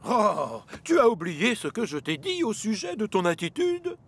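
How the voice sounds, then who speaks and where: High, blustering railway boss. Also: male voice, wildly swooping pitch, moderate pace, a bit bright, breathy edge, exaggerated voice. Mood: stern. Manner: exasperated, stern, fussy. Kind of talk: French cartoon dub acting.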